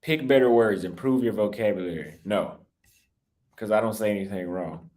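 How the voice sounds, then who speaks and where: A young American man with a slightly low voice, talking off the cuff on a livestream about his travels. He rambles, restarts his sentences and gets defensive, and his voice sounds a little cracked and worn. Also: normal speed, darkish tone. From United States. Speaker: travel YouTuber live-streaming. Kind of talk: casual livestream talk reading super chats.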